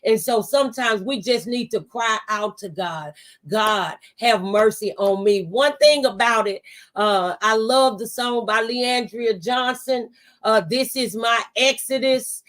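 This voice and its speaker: A woman's voice: a little high, unhurried, fairly bright tone. A woman radio host talking conversationally in a preacherly, testifying way, offering faith-based encouragement. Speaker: radio talk show host.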